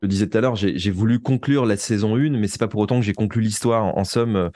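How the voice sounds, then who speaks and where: A man talks in a close, dry recording. He speaks reflectively as a writer being interviewed, earnest and careful, often pausing to hunt for the right word. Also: lowish voice, warm tone, no echo. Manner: hesitant, searching for words, earnest. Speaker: fiction podcast writer, interview guest.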